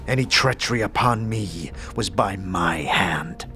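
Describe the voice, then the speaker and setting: Man acting a slow, low, solemn enslaved warrior. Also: lively intonation, bright, forceful tone, faint music behind, exaggerated voice. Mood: grave, resigned. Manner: solemn, formal, halting delivery. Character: grave, sorrowful warrior bound in honour-bound servitude. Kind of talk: fantasy audio drama acting.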